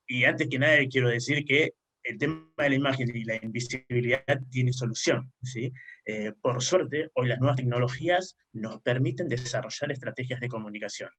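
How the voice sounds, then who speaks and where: A man's voice: medium pitch, a bit bright. Argentine man tagging points with "¿sí?". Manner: frequent questioning "¿sí?" tags, explanatory. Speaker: panelist. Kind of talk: online panel talk. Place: remote online conference.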